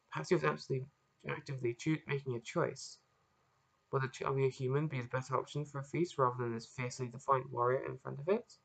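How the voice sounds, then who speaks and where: Croaky just-woken-up man reading his story. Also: mid-range voice, a bit bright, rough recording. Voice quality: croaky, gravelly. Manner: casual, rambling sign-off with fillers. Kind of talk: amateur reading aloud of a story chapter. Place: at home in front of a laptop. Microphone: laptop webcam.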